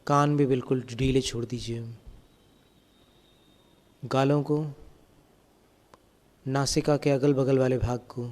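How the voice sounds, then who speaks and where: A man guiding a meditation as a spiritual teacher, giving calm, gentle, step-by-step instructions. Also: mid-range voice, takes it slowly, pauses often. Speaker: Jain monk and meditation teacher.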